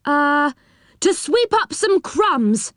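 A woman voicing an excitable little magical man who stammers and exclaims in a children's storybook reading. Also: high voice, drawn-out words, no echo, clean studio sound, pitched above the performer's own voice, full character voice. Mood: pleading, insistent.

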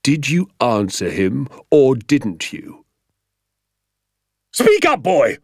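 A man voicing a bullying, contemptuous military schoolmaster with a menacing, drawn-out, emphatic delivery in an audiobook story. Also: mid-range voice, huge pitch swings, slightly rough, dry recording, studio quality, voice pushed up, exaggerated voice. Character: furious bullying schoolmaster, old military captain, barking contemptuously.

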